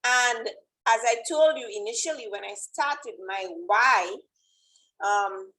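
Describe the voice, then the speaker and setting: A woman's voice: a little high, slow pace, pushed and bright, slight background noise, poor audio. A woman with a Trinidadian accent giving an informal motivational presentation as a fitness coach, often checking in with "right?".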